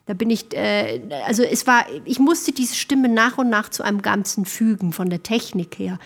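A woman's voice: medium pitch, normal speed, vocal fry. A woman talking casually as an interview guest, reflective and explanatory. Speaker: guest, singer. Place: small theatre, live recording.

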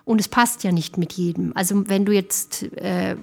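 A middle-aged woman, a singer, talks thoughtfully in a casual interview with frequent pauses and restarts. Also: medium pitch, fairly even intonation, creaky, dark tone. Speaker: guest singer.

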